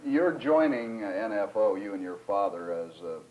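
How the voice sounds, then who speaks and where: An American man with a fairly low voice acts as interviewer in a formal exchange. He sets out his leading questions deliberately. A faint noise sits behind his voice. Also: expressive, darkish tone, low-quality recording. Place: small wood-panelled room, across a table.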